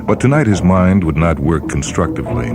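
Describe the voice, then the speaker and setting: A man hosting and reading a story as scripted dramatic narration, in a deliberate, measured manner. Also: sits low, very animated. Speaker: host and story reader. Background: music under the voice.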